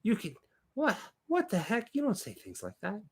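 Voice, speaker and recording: A man's voice: sits high, wildly swooping pitch, moderate pace, slightly rough. A man in his twenties co-hosting a casual live stream, joking and bantering. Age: 20s. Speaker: co-host.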